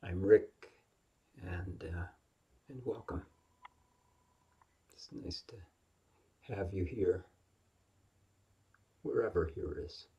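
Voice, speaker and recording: A man leading a guided yoga nidra meditation as the teacher, speaking gently and unhurriedly with long pauses in a husky, breathy, gravelly voice. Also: low-pitched.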